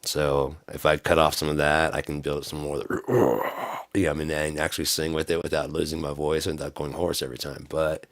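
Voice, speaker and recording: Man, very low voice, raggedy from smoking, rambling casual storytelling, dry close sound. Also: no echo. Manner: rambling, profane, laughing often. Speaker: vlogger telling a story.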